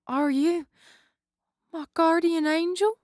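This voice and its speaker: A woman's voice: very high-pitched, fairly even intonation, takes it slowly, a little mellow. A woman playing a naive, earnest and wonderstruck young woman in a theatrical audio drama. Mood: wondering.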